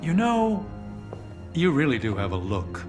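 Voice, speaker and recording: An actor playing a haughty, pedantic, drily sarcastic sorcerer in a game cutscene. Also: male voice, fairly high pitch, wildly swooping pitch, a little slow, music in the background. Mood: drily mocking.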